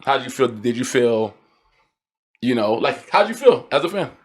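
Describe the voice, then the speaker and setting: A man's voice: medium pitch, very animated, moderate pace, slightly rough. A man hosting a podcast in a casual, conversational style, with informal, slangy speech. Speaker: podcast host.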